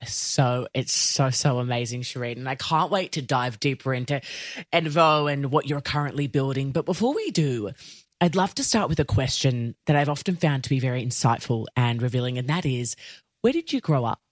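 A woman's voice: extremely low pitch, expressive, moderate pace, dry recording, studio quality. A woman hosting a podcast, reading an upbeat intro and then chatting enthusiastically with her guest. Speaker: podcast host. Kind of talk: scripted intro then interview chat.

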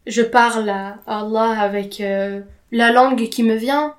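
High-voiced young woman, native Arabic speaker. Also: fairly even intonation, a little slow. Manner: informal slangy talk with occasional English words. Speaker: podcast guest. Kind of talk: candid personal account in casual conversation.

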